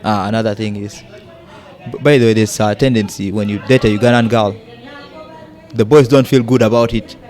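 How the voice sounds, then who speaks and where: A man's voice: fairly low pitch, fairly even intonation, brisk, long pauses, darkish tone. Blunt young man from South Sudan. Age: young adult. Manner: blunt, emphatic, mimics people in quotes. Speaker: guest. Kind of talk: candid interview conversation.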